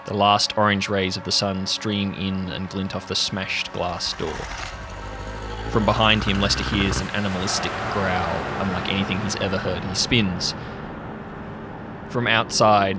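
A man narrating a tabletop role-playing story as game master, measured and atmospheric, with music underneath. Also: sits low.

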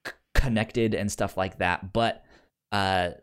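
A man's voice: on the low side, steady tone, slow, smooth. A man co-hosting a casual pop culture podcast, explaining things and often repeating words as he goes. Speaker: podcast co-host.

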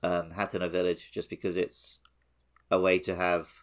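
A man's voice: low-pitched, little pitch movement, moderate pace. A man co-hosting a casual gaming podcast, laid-back, with a dry teasing sense of humor. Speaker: podcast co-host.

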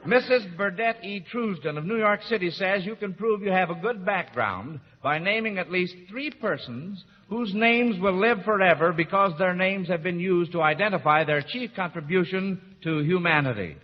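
A man's voice: sits high, moderate pace, hardly any pauses. A man hosting an old radio quiz in a formal, encouraging manner. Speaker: quiz show host. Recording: muffled old radio broadcast, hall echo, audience noise.

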